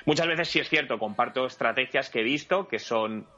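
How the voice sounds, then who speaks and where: A man's voice: mid-range voice, fairly quick, bright, forceful tone, lo-fi sound. A man with a Madrid Spanish accent answering interview questions in a conversational way as an entrepreneur guest. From Madrid, Spain.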